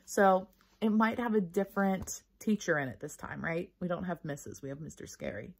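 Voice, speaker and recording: A woman reads a storybook aloud for children in a lowish, husky voice. Her delivery is highly animated, and she stops to explain things to her young listeners. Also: moderate pace. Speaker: storybook reader. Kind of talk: expressive children's read-aloud.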